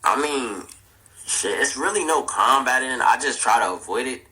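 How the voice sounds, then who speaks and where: A man's voice: mid-range voice, lively intonation, normal speed, pushed and bright, poor audio. A nineteen-year-old man, a rapper and producer, chatting casually as an interview guest, laid-back and full of slang. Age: young adult. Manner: laid-back slangy talk with frequent "bro".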